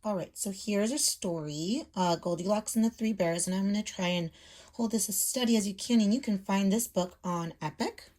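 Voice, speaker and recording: A woman's voice: medium pitch, moderate pace, darkish tone. A woman in her thirties speaking as a teacher, explaining step by step and reading a storybook aloud to children at home. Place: small room at home.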